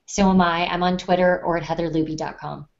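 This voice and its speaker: A woman's voice: lowish voice, fairly even intonation, a little fast, gravelly. A woman co-hosting a casual podcast, explaining her points plainly and conversationally. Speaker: podcast co-host. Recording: dull, muffled lo-fi sound.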